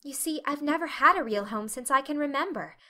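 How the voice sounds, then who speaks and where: A woman's voice: high voice, lively intonation, fairly quick, sharp tone, pitched above the performer's own voice. A woman voicing a dreamy, talkative, imaginative orphan girl in a dramatic audiobook reading, with a rapturous, effusive manner. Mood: chatty, wistful.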